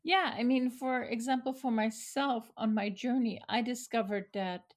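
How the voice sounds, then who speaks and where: A woman's voice: on the high side, moderate pace. Middle-aged woman with earnest answers. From Austria. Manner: earnest, with fillers and restarts. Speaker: photographer, guest. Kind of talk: interview answers.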